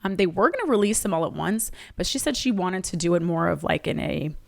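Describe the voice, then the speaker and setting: A woman's voice: lowish voice, animated, fairly quick, gravelly. A woman co-hosting a casual movie review podcast, chatty and hesitant with frequent ums. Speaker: podcast co-host.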